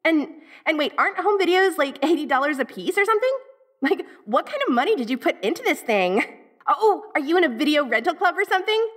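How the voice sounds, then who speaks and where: Woman doing an 80s valley girl ghost, american, dorky tsundere, really high voice, gushy and slangy, audio roleplay. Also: big pitch swings, brisk. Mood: curious, incredulous. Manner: gushing, hesitant, slangy valley-girl talk. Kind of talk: audio roleplay acting, speaking directly to the listener.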